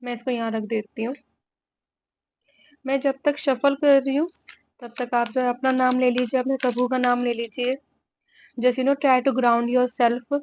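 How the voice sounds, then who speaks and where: A woman giving a tarot reading, hoarse from a cold, talking slowly and softly while mixing Hindi and English. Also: high-pitched, little pitch movement, rough recording. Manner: slow, soft-spoken, mixing Hindi and English. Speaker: tarot reader.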